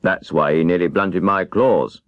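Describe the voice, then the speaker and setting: Man putting on a low, sheepish cowardly lion. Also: measured pace, no room sound, muffled recording. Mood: curious, sheepish. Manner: sheepish, hangdog. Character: big cowardly male lion, ashamed and curious. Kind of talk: children's storybook character reading.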